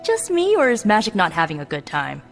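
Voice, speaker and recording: A woman's voice: mid-range voice, wildly swooping pitch, brisk. A woman playing an eager, earnest young female superhero in a video game cutscene, chatty and insistently optimistic. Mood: anxious, insistent. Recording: long hall reverb, music behind.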